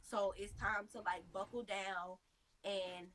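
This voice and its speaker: A woman's voice: mid-range voice, steady tone, takes it slowly, a bit bright, rough recording. A woman in her thirties answering interview questions with earnest, motivational advice and lots of fillers. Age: 30s. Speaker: interview guest, mother.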